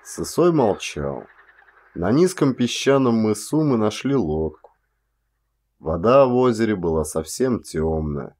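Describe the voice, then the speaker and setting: A man reading an audiobook as an actor, telling a literary story in a measured, expressive way. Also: on the low side.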